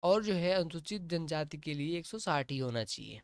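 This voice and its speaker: A man with a fairly high, clean and resonant voice, recorded close and dry with no room sound. He explains exam rules step by step like a coaching teacher and keeps checking that his students follow. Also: animated, normal speed, no echo. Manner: plain, repetitive explaining with frequent "ठीक है" checks. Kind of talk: explaining a job notification on screen.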